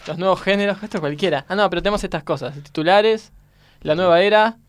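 A man's voice: sits high, quick pace. A man with an Argentine accent co-hosting a comedy radio show, bantering casually and announcing segments.

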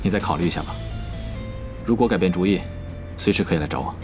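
A man acting a serious young esports team captain in an audio drama, speaking in a measured, earnest, formal way. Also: deep voice, over music, natural voice. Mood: probing, sincere.

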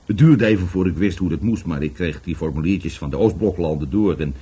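An actor playing an evasive, volatile middle-aged businessman questioned by police in a radio play, husky, stammering and repeating his words. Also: low-pitched, a little fast, dark tone. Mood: reminiscing. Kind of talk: Dutch radio play acting.

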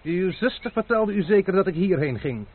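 A sarcastic proud courier. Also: male voice, sits high, expressive, moderate pace, slightly rough. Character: proud male courier, quick-tempered and sarcastic. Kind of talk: vintage radio drama acting. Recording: phone-like narrow band.

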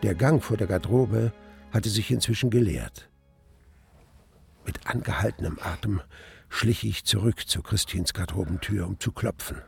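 An actor playing a reflective older man narrating his memories in a radio drama, literary, deliberate and gravelly. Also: lowish voice, soft background music, full character voice. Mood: tense, hopeful.

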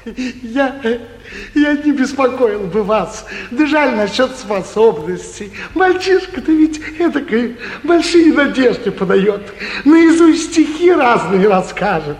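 Obsequious, hesitant provincial landowner; extremely high voice, theatrical radio comedy, reverberant hall. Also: male voice, expressive, takes it slowly, full character voice. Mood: pleading, deferential. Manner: hesitant, roundabout, deferential. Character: fussy, timid provincial landowner, obsequious doting father. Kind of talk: theatrical 1940s stage comedy acting. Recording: echoey 1949 theatre radio production.

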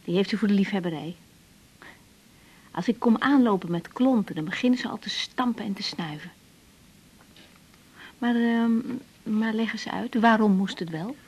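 A woman acting a caring young doctor in a naturalistic radio drama, softly concerned, questioning and a little hesitant. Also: medium pitch, normal speed, pauses often, husky, natural voice, low-quality recording. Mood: quiet, probing.

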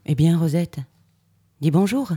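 A woman's voice: lowish voice, lively intonation, measured pace, dark tone, husky. Scolding, protective mother, played by a woman. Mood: pointed, dry. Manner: scolding, reproachful. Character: indignant, protective mother, bitter and commanding wife. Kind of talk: French audio drama acting.

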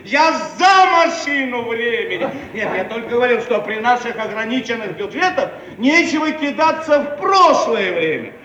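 An actor playing a pompous, self-important Soviet bureaucrat, irritable and fawning, in an old radio stage comedy. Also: male voice, extremely high pitch, big pitch swings, moderate pace, few pauses, bright, forceful tone, exaggerated voice. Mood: blustering. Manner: pompous, officious bureaucratic phrasing. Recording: roomy archive radio recording, distant, faint noise.

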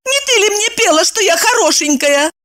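A woman voicing a melodramatic, indignant young woman in an audiobook, shrill and exclamatory as she scolds her friend. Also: lively intonation, normal speed, pushed and bright, dry recording, clean studio sound, heavily acted. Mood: furious.

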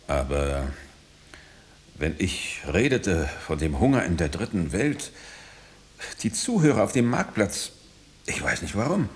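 Radio drama: haunted engineered man, low register, very animated, urgent, fractured phrasing. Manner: halting, insistent, breaking off sentences. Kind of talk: German radio drama acting.

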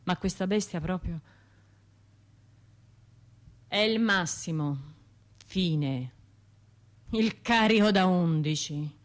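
A woman's voice: fairly low pitch, takes it slowly, pauses often. Haunted, wry woman survivor. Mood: wry, bitter. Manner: colloquial, confiding, wry, shifting between narration and imagined voices. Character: wounded, bitter woman survivor of a bombing, haunted by memory. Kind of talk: radio theatre monologue.

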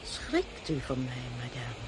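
A woman's voice: rumbling low, wildly swooping pitch, takes it slowly, very noisy, heavily acted. A woman playing a lonely, imperious old dowager queen in a radio drama, stately and probing. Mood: cold, probing.

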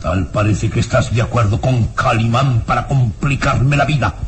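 An actor playing a stern, quick-tempered baron and father in a melodramatic radio serial. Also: mid-range voice, a little hiss or hum, natural voice. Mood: stern, indignant. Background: dramatic music.

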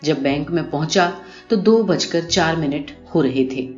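A woman reading a short story aloud in an expressive, scripted narration style. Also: low-pitched. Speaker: audiobook narrator. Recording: muffled, roomy recording with music behind. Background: music.

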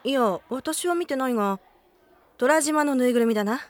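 A woman voicing a brisk, frank schoolteacher in an anime audio drama, with plain, authoritative phrasing. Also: sits high, natural voice. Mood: brisk, helpful.

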